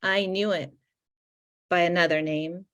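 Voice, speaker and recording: A middle-aged woman from Ukraine whose first language is Russian, reading her poems slowly and deliberately over a video call. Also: on the low side, fairly even intonation, fairly bright tone. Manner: slow, deliberate reading with weighted pauses. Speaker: poet. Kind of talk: poetry reading. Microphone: video call microphone.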